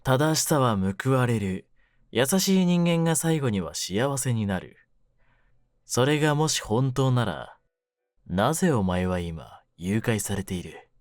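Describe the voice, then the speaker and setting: A man playing a cynical, wounded young mafia kidnapper in an audio drama, with a low voice and rough, brooding delivery. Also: animated, a little slow, dry recording, clean studio sound, close to an everyday voice. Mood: cold, taunting. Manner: rough masculine slang, taunting, brooding delivery. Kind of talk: Japanese situation-voice audio drama, ASMR.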